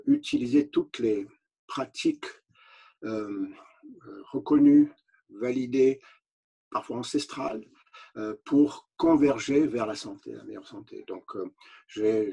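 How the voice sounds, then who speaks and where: Gravelly older man explaining calmly. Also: medium pitch, low-quality recording. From Canada. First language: English. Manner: unhurried, many hesitations and restarts. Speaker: physician. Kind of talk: explaining to an interviewer.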